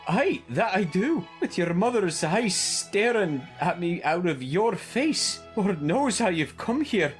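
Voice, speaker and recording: Scolding, indignant old gardener. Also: male voice, high voice, sing-song, slow, hardly any pauses, soft background music, exaggerated voice. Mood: shocked. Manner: scolding, exclamatory. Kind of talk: audio drama acting.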